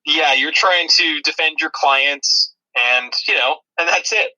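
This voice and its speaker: A man's voice: a little high, a little slow, bright, forceful tone, low-quality recording. A man co-hosting a podcast, casually explaining things in a rambling, dryly humorous way over a thin, radio-like connection. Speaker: podcast co-host. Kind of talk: casual chat about video-game movies.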